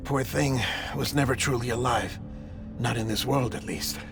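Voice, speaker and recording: Man acting a gentle official, gravelly and hushed. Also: mid-range voice, fairly even intonation, normal speed, lightly acted. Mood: somber, hinting. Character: melancholy, gentle male medical official, quietly conspiratorial. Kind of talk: video game cutscene acting. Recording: music behind the voice.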